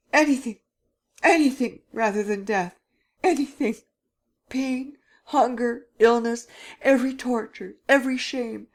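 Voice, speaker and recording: A woman's voice: sits low, darkish tone. Woman voicing a desperate, stammering young man. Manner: pleading, sobbing, stammering.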